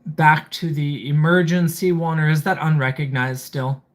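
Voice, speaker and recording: A livestream host with a mid-pitched voice. The delivery is casual but methodical, listing each item and then adding a short remark, as in a hands-on product walkthrough. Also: fairly even intonation, moderate pace. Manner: methodical, reading items aloud then commenting. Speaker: livestream host reviewing gadgets.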